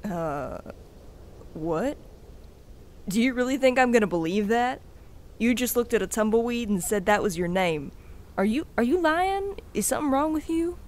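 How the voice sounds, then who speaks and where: A woman's voice: fairly high pitch, lively intonation, moderate pace, pauses often, darkish tone, natural voice. A woman playing a brash, bossy cowboy gang leader with a folksy, challenging swagger in a western audio drama. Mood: suspicious, challenging.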